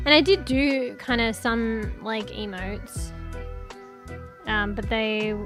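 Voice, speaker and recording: A woman streamer chatting casually with her viewers, rambling with lots of fillers and the odd swear, her voice going a bit hoarse. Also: fairly high pitch, takes it slowly, fairly bright tone. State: losing her voice, coughing. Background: music.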